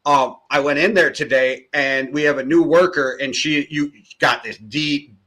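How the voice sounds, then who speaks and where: American man, bright tense tone, slightly high voice, casual podcast co-host. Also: normal speed, poor audio. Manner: storytelling, relaxed, hedging. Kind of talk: casual sports chat.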